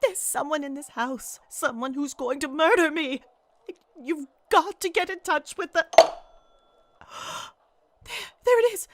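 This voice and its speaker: Woman acting a high-strung, frightened invalid wife. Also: a little slow, pauses often, a little hiss or hum. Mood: panicked. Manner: stammering, breathless, insistent pleading. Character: anxious, demanding invalid wife alone at home, terrified. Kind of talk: radio play thriller acting.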